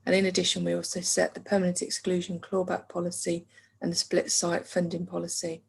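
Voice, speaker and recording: A woman with a low, gravelly voice briefs a council meeting. She holds a flat, even tone and keeps pausing on fillers as she works through each point. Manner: measured, with frequent hesitation fillers. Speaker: council finance officer.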